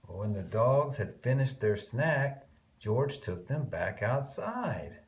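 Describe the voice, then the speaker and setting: Guy reading a kids book out loud, takes it slow, voice goes up and down a lot, little chatty asides. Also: mid-range voice. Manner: expressive, drawn-out emphasis on words, murmured asides to listeners. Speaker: children's picture-book reader. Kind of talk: read-aloud storytelling for young children with chatty asides.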